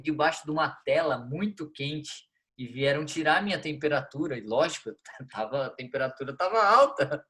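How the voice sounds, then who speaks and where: A Brazilian man in his thirties, a guest on a podcast, telling stories in a lively way, acting out dialogue and laughing often. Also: a little high. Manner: animated storyteller, acts out dialogue, laughs a lot. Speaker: football coach, guest. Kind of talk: storytelling in a podcast interview.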